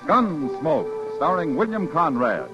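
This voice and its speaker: Loud music surges behind an American male announcer on a dull, vintage radio recording. His delivery is dramatic and widely varied in pitch, in the grand manner of a 1950s show introduction. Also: very animated, slow. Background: loud theme music.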